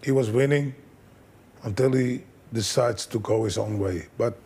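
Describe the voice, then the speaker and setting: A man, a fight trainer, telling animated stories in broken English as an interview guest. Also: mid-range voice, unhurried, gravelly, slightly rough. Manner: animated storyteller, broken English, acts out quotes. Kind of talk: casual storytelling interview.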